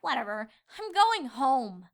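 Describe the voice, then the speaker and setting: A woman voicing an excitable, inquisitive young female pony in a cartoon fan fiction, questioning and emphatic. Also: sits high, measured pace, full character voice. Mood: indignant.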